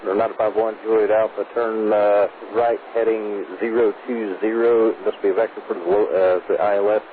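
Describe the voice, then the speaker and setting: Low, flat-voiced male controller on muffled radio. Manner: procedural, halting with pauses. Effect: aviation radio. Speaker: air traffic controller. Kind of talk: radio air traffic instructions. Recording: lo-fi noisy aviation radio transmission.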